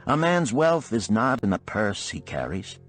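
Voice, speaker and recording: A man voicing an earnest, animated musician of ancient Babylon in a storybook parable, with archaic, oratorical phrasing. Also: on the low side, unhurried, faint music behind. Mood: reproving.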